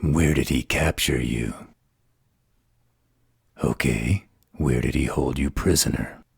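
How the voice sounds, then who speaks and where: Male actor as sardonic vampire interrogator, extremely low pitch, very husky, slow, flirtatious, audio drama. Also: dry recording, clean studio sound, exaggerated voice. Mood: sardonic, probing. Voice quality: very deep, gravelly, husky. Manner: slow, sarcastic, probing. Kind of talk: dramatic audio roleplay acting.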